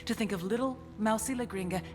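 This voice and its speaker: An actress playing a ruthless, sardonic American intelligence agent in a video game cutscene, threatening and profane. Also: female voice, medium pitch, fast, soft background music, natural voice. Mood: scornful.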